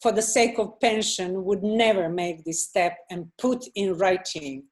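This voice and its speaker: A woman's voice: mid-range voice, a little slow. A woman moderating a panel discussion, thoughtful and hesitant, with many fillers.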